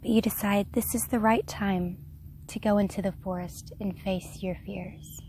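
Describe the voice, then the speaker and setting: A woman leading a guided meditation in a gentle, unhurried, soft-spoken way. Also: medium pitch, steady tone, a little hiss or hum. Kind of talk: guided meditation, slow soothing narration.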